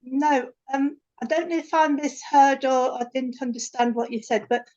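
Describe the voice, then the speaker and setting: Soft-spoken woman, slightly higher voice, dull video-call sound. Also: fairly even intonation, moderate pace. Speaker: attendee asking a question.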